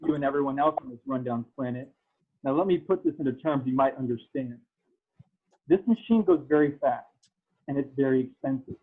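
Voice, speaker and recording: Through thin, phone-like call audio comes a scornful racing gang boss, played by a man. His voice is a bit husky and taunting, talking down to everyone as part of a fan-fiction table read. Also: medium pitch, a little fast, lightly acted. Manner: condescending, taunting. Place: remote video call.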